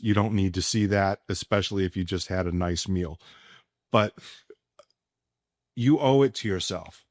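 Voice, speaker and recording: Man, low pitch, podcast host, casual sports talk, emphatic, deliberate pauses, dry sound. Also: no room sound.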